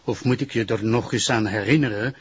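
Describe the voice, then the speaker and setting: An actor playing a stern public prosecutor with a Flemish accent in a television crime drama, formal, sardonic and reprimanding. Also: male voice, fairly low pitch, brisk, husky. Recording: dull, muffled television audio.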